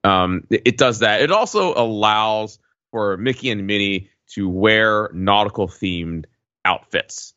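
A man on a podcast casually recounting an experience in a wordy, halting way, often correcting himself. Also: on the low side, animated, sharp tone. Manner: wordy, halting delivery with frequent hedging and self-corrections. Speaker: podcast regular recounting a trip. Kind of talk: casual chat, rambling storytelling. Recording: dull lo-fi, roomy echo.

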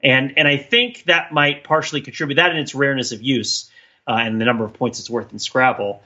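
Guy podcast cohost, bright voice, rambly explainer, keeps going "right?", bit muffled audio. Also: mid-range voice, brisk. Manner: rambling, digressive explaining, often checking with "right?". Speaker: podcast co-host. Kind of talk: casual discussion.

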